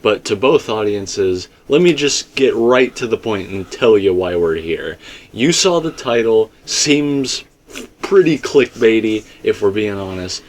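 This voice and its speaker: Casual low-voiced man hosting a discussion. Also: animated, measured pace. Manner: informal, explanatory, addresses viewers directly. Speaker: host of a fan theory discussion.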